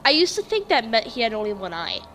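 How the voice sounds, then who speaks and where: A woman performs a confident poster recalling details of an old children's show. Her voice is bright and fairly high, with lively swings in pitch, reading as drama over music. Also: fast talker. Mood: matter-of-fact correcting. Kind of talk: dramatic reading of online forum posts.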